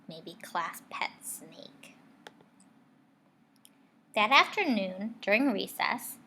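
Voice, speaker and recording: A woman teacher reading a picture book aloud to children, expressively, pausing to ask her young listeners guiding questions. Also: mid-range voice, bright, forceful tone, a little hiss or hum.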